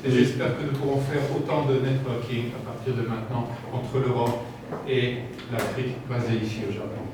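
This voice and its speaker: Middle-aged man from France, measured hesitant speaker; distant mic, very roomy, noisy. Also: medium pitch, flat delivery. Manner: slow, measured, hesitant with "euh" fillers. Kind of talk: formal talk with pauses for interpreting. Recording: echoey room from far off with noise.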